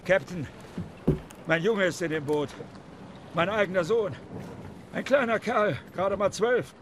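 Desperate seafaring father, high voice. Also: a little slow, fairly bright tone. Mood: desperate, imploring. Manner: imploring, urgent, raised voice. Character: desperate pleading sea captain, father of a missing boy. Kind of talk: radio drama acting. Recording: noisy water ambience behind.

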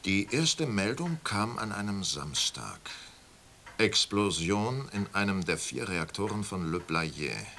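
A shrewd, cynical wine auctioneer in a radio drama, talking with amused confidence about his business. His voice sits low but has a bright, forceful edge. It rises and falls in lively swings. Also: takes it slowly, creaky, slight background noise, heavily acted. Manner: amused, animated, knowing. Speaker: auction house owner. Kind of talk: radio play acting.

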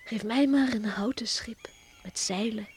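Actress as high-voiced young island farm girl. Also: a little slow, a little mellow, a little hiss or hum, lightly acted. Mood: wistful, musing. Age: young adult. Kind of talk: Dutch radio play acting.

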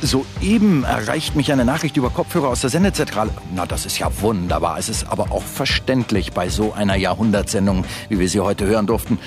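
An actor playing an unctuous, self-satisfied radio host in a satirical radio play, with theatrical announcer patter. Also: male voice, lowish voice, a little fast, hardly any pauses, over music, close to an everyday voice. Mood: smug, celebratory.

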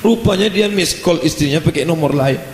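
Man, preacher, animated, roomy echoing hall, faint ambience. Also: fairly high pitch, normal speed, background noise. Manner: lively, animated delivery with playful asides. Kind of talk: religious lecture.

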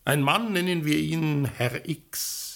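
An actor playing an irascible newspaper editor with a sarcastic manner in a radio play. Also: male voice, on the high side, takes it slowly, gravelly, fairly bright tone, full character voice. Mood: scornful.

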